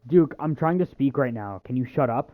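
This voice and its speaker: A man's voice: medium pitch, animated, moderate pace, phone-quality sound. A man giving casual video game walkthrough commentary, with hesitant, wry, self-deprecating asides.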